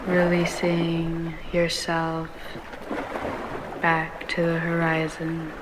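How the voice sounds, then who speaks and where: A woman leading a guided meditation in a soothing ASMR style, speaking in short unhurried phrases with long pauses. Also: deep voice, monotone, background noise. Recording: waves and rain sound clearly behind. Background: ambient ocean waves and rain.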